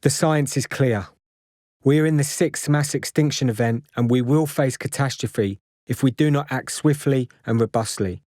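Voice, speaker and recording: A man reading a scripted activist declaration in a solemn, measured, formal way. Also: mid-range voice, a little mellow, no echo, studio quality. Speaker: activist reader.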